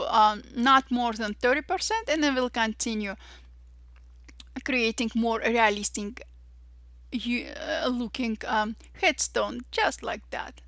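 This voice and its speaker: A woman with an Armenian-Russian accent guides each step in a slow, unhurried tutorial. Her voice is bright and a little high, and she sounds cheerful. The recording is close and dry, with no room sound. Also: no room sound. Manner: cheerful, says "voila" and "perfect" often, slow deliberate steps. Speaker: Photoshop tutorial instructor. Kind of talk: step-by-step software tutorial narration.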